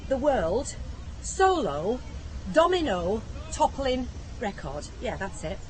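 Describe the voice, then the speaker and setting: Lively, bold woman. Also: fairly high pitch, slow pace, slight background noise, poor audio. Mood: persuasive. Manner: teasing, assertive, persuasive. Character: bold, persuasive, protective female neighbour. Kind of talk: radio drama acting.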